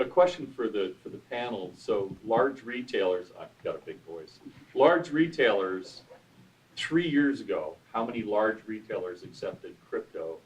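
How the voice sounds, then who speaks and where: Low-voiced moderator man, distant mic. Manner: informal, calls on people by name. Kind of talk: panel moderation.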